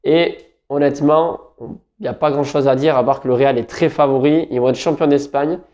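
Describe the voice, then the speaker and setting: A man's voice: medium pitch, fairly even intonation, normal speed, darkish tone, highs cut off. A French man giving football betting tips in a casual, rambling talk, often correcting himself. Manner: chatty, rambling, self-correcting. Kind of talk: casual solo talk to camera.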